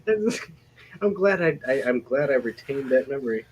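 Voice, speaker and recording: A man in casual, joking panel chat who talks haltingly and repeats himself. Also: medium pitch, animated, husky, dull audio. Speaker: panel member. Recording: faint background noise.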